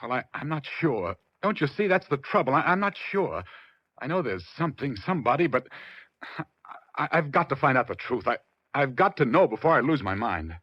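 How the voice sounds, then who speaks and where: A man's voice: mid-range voice, sing-song, brisk, husky, no room sound. An American man playing an anxious, distraught husband in his late thirties in a 1940s radio drama, hesitant and earnest. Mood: agitated, anguished. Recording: muffled 1940s radio recording.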